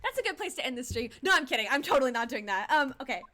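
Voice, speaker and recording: Woman in her twenties, game streamer, high bright voice, excitable, repetitive, casual, faint music behind. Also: very fast. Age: 20s. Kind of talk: casual livestream reaction commentary.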